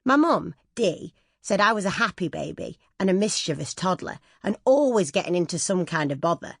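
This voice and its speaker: A woman's voice: fairly low pitch, expressive, moderate pace, husky, dry recording, studio quality. A woman reading a scripted first-person memoir as an audiobook narrator.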